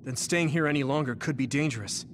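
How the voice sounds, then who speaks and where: A man voicing a cautious, focused young soldier in an anime game, serious and measured. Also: mid-range voice, slight background noise, lightly acted. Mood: wary. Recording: music and rain behind the voice.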